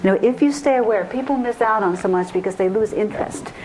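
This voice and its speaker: A woman's voice: medium pitch, fast, a little mellow, poor audio. A woman giving earnest, emphatic advice in a conversational teaching style, repeating phrases as she goes. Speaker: co-speaker on intuition. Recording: live recording with faint noise.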